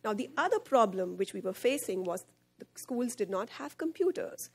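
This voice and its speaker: A woman in her thirties speaks at a podium microphone on a conference stage. She explains her organization's work in a presenting tone, with frequent fillers. Her pitch sits in the middle range for a woman. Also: big pitch swings, normal speed, breathy edge. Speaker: head of partnerships at a charity.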